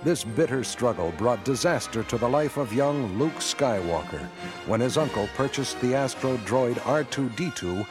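A man narrating an epic space saga for a radio drama in a measured, solemn storytelling style. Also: mid-range voice, lively intonation, over music, lightly acted. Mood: solemn, grave.

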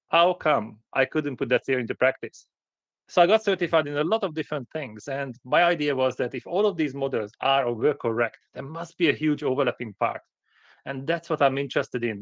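A man's voice: mid-range voice, fairly quick, a bit bright, no echo, muffled recording. A man from Hungary with a Hungarian accent, talking as an interview guest in short, plain-spoken, direct sentences. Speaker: podcast guest, company founder. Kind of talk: conversational interview storytelling.

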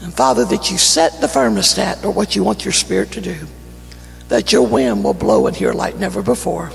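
A man with a North Carolina Southern accent, an evangelist praying and preaching a fervent sermon that builds to shouting in a church. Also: high-pitched, moderate pace, creaky. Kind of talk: sermon with opening prayer. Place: church sanctuary. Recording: church hall reverb, faint music.

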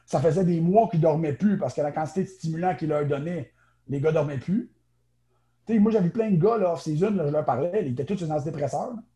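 Quebec French male guest, naturopath, casual, higher voice, very warm tone. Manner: chatty, quick, many fillers and restarts. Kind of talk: casual interview talk.

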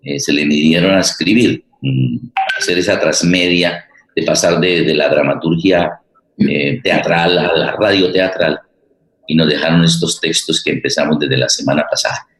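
A man with a Colombian accent hosting a live programme, speaking in a halting, deliberate way and often repeating words. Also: a bass voice, fairly even intonation, a bit bright. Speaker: host of a radio theatre programme. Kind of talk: live presentation to an online audience. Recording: roomy, echoing voice.

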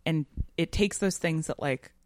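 A woman's voice: deep voice, a little slow, darkish tone, dry recording. A woman co-hosting a podcast, talking through literary ideas in a thoughtful, analytical, conversational way peppered with "like" and "right?". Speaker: podcast co-host.

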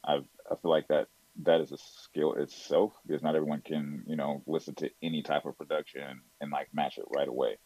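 A man with a very deep voice, talking casually as an interview guest about his music, full of "you know" and "like". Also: level tone, brisk, dark tone, dry recording, rough recording. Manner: rambling, filler-heavy with "you know" and "like".

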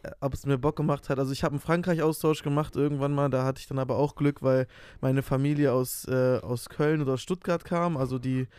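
Smooth-voiced young man teasing playfully. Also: mid-range voice, steady tone, a little fast, few pauses, a little mellow, no room sound. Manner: teasing, joking, playful swearing. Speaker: podcast co-host. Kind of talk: casual chat. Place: in Hannover.

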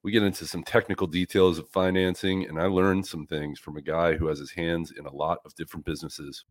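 A man's voice: low-pitched, little pitch movement, brisk. A man in his forties hosting a podcast, upbeat and enthusiastic as he introduces and questions his guest. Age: 40s. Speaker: podcast host.

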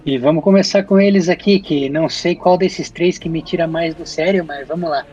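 Brazilian man hosting, higher-pitched voice. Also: a little fast, darkish tone, lo-fi sound. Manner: teasing, slangy. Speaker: podcast host. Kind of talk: casual group podcast banter.

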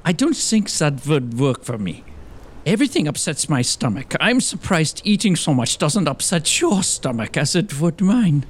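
A man playing a fussy, excitable young lawyer in a comic audio drama, with a fretful, theatrical delivery. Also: fairly high pitch, moderate pace. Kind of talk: gothic comedy audio drama dialogue.

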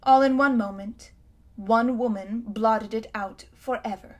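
A woman voicing a cold, imperious tyrant queen in a storybook reading, speaking loudly and grandly. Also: a little high, measured pace, lightly acted. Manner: haughty, commanding, grand.

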